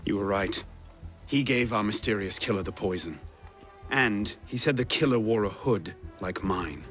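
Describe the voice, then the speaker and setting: Actor as a dry, resolute young assassin. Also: male voice, lowish voice, moderate pace, a bit bright, faint music behind, close to an everyday voice. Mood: serious, resolute. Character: determined young male assassin, dry and brooding. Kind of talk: video game dramatic acting.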